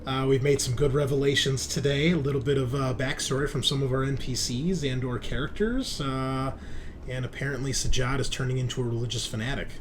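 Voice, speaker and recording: A man game mastering a tabletop horror session, speaking in a halting, descriptive and casual way. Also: mid-range voice, fairly even intonation, crowd noise. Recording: noticeable background noise.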